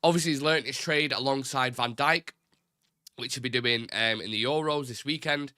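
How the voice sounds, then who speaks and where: A young man talks through a football live stream in a loose, rambling way. His nose is blocked and his voice comes out nasal, with a bright, forward tone. The recording is dry and close, with no room sound. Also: medium pitch, normal speed, no echo. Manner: rambling, chatty, informal. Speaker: football channel host. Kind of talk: casual live-stream chat.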